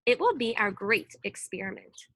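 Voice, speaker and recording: A woman's voice: mid-range voice, big pitch swings, normal speed, a bit bright, dry recording. A woman voicing a patient, encouraging second-grade teacher in a storybook, with a wry manner. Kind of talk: acted storybook dialogue.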